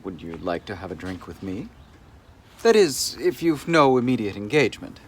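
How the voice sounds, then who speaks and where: Man acting a shy donnish bachelor in a book drama, mild but very varied pitch. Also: sing-song, normal speed, background noise. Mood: politely hesitant. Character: reserved, naive, bookish bachelor anthropologist. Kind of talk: dramatized reading of a novel.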